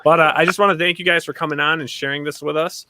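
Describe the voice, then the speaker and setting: A man's voice: on the high side, steady tone, fast, background noise. A man hosting a casual livestream interview, informal and effusively appreciative in the way he talks. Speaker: livestream show host.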